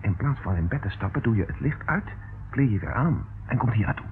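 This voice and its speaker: An actor playing a shrewd, decisive detective who calmly takes command in a radio drama. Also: male voice, lowish voice, fairly quick, natural voice. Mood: cool, commanding. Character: shrewd, cool-headed male detective taking command in danger. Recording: old lo-fi radio recording, faint noise.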